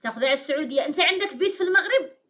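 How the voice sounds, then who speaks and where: A woman in her thirties with a Moroccan Arabic accent, hosting a live call-in show from a small room, briskly repeating her caller's answers back. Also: sits high, animated, pushed and bright. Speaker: host taking calls.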